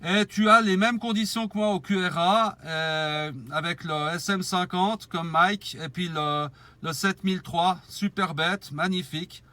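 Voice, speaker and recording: Amateur radio operator, male, higher-pitched voice, cordial, spelling out call signs phonetically. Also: steady tone, measured pace, pushed and bright, no echo.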